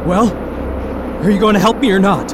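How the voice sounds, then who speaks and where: An actor playing a stubborn, lovesick space traveller in an audio drama, with an emotional, halting delivery broken by sighs. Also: male voice, sits high, noisy background, close to an everyday voice. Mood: frantic, defiant. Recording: loud music and effects behind.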